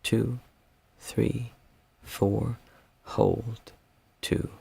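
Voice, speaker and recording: A hoarse man with a raspy, worn voice, guiding a group meditation in a calm, unhurried way. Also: fairly low pitch. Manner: calm, unhurried counting of breaths. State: hoarse, losing his voice. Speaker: meditation teacher.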